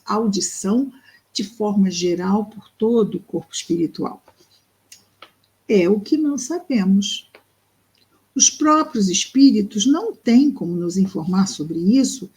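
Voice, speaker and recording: A woman with a Brazilian Portuguese accent reads a book aloud and explains it, speaking thoughtfully and slowly, with pauses and fillers. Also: mid-range voice, warm tone, low-quality recording. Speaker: study group presenter. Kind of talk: reading and explaining a spiritist book.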